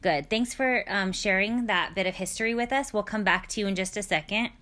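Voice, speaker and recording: A woman's voice: mid-range voice, a little fast, pushed and bright. A woman with an American accent hosting a casual educational discussion, encouraging others and prompting them with questions. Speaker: podcast host.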